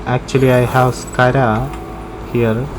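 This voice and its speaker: A woman's voice: rumbling low, flat delivery, takes it slowly, background noise. A woman streaming a game, giving short, casual muttered reactions as she plays.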